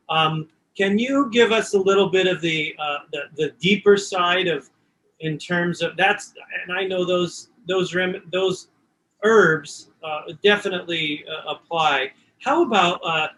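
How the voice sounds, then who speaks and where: A man hosting an interview, asking halting, apologetic questions that stumble and restart. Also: a little high, a bit bright, slight background noise, low-quality recording. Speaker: podcast host.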